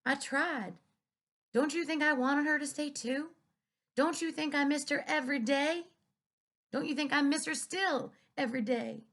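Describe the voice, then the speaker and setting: A woman voicing a gentle, tired preacher father who pleads softly in an audiobook reading. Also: mid-range voice, fairly even intonation, moderate pace, natural voice. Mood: grieving.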